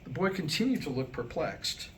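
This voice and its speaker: Higher-voiced man telling jokes, noisy live recording. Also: big pitch swings, a bit bright. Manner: storytelling, deliberate pauses, acting out dialogue in jokes. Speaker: humorist and author. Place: indoor room, live staged reading.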